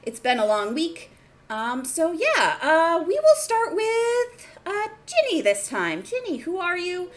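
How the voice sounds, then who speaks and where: A woman hosting a tabletop game as game master, with a playful, animated manner. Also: very high-pitched, takes it slowly.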